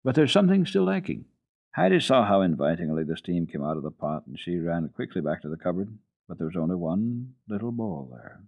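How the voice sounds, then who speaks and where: A male storyteller with a low, husky voice reads a children's book dramatically. His delivery is folksy and lively in pitch. It sounds like a muffled archival radio program. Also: a little fast, few pauses.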